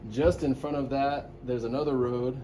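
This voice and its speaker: A man's voice: mid-range voice, little pitch movement, moderate pace, a crowd in the background. A man, a helicopter pilot, explaining an idea in a casual discussion. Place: airport control tower. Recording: loud background noise on location.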